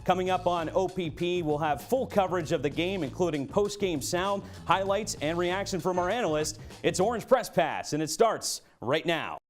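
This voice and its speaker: A man's voice: high voice, animated, moderate pace, hardly any pauses, faint music behind. A young adult man working as a sports announcer and anchor, delivering commentary and news.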